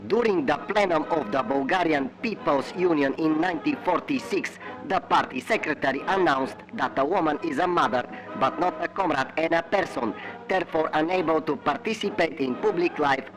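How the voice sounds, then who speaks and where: An actor playing the herald of a totalitarian party, making formal, official propaganda announcements in an audio drama. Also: male voice, on the high side, normal speed, over music, natural voice, rough recording. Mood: official, declarative.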